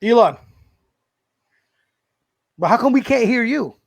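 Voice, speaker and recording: A man hosting a casual podcast over a video call, excitable, laughing often and swearing casually. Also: very high voice, slow, gravelly, slightly rough. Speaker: podcast host. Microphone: home video call mic.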